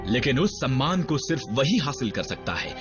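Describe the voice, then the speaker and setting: A man voices a grave cartoon robot soldier with a mid-pitched, measured delivery. He speaks solemnly, like a veteran recounting a long war. Loud dramatic music plays behind a muffled recording. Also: big pitch swings, natural voice. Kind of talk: dubbed animated series dialogue and narration.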